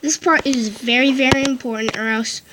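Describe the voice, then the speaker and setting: A woman's voice: high voice, steady tone. A woman narrating a casual game tutorial into a headphone mic, often stopping to correct herself. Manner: halting, frequent self-corrections and restarts. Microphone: headphones with built-in mic. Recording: loud background noise on headset mic.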